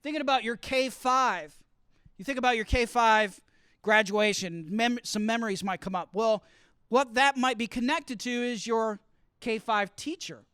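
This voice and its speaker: A man giving a lively teaching talk to students, often asking the room questions as he explains. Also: very high voice, measured pace, smooth, no echo, clean studio sound. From grew up partly in Costa Rica. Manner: asks the audience questions, explains with extended metaphor. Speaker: college speaker teaching study skills. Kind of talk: interactive classroom talk.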